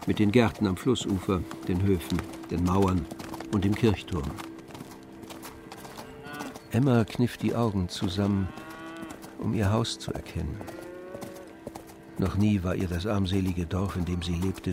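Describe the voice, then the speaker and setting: Male narrator for literary radio drama, composed scripted reading, lowish pitch, faint music underneath.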